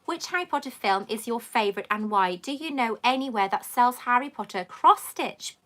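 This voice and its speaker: Bright-voiced chatty woman with English-Welsh accent. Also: a little high, expressive, moderate pace. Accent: mixed southern English and Welsh. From England, raised in Wales. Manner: chatty, reads viewer comments aloud, laughs often. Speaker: host. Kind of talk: live Q&A stream.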